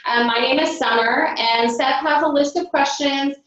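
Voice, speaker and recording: High-voiced woman hosting from far off. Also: moderate pace, a bit bright. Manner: friendly, fillers, directing attendees. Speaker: admissions staff host. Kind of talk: hosting a webinar. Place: office or meeting room, off camera. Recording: echoey room, far from mic, dull sound.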